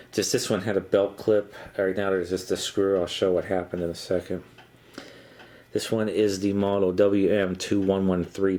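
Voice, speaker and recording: A middle-aged man giving a casual, unscripted show-and-tell in a halting, matter-of-fact way. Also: sits low, darkish tone. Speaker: vintage electronics hobbyist presenter.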